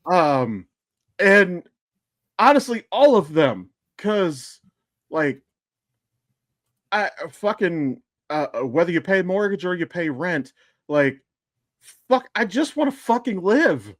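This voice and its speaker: A man's voice: sits high, sing-song, slow, pauses often, no echo, clean studio sound. An American man talking casually as a podcast co-host, blunt, self-deprecating and freely profane. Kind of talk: casual chat.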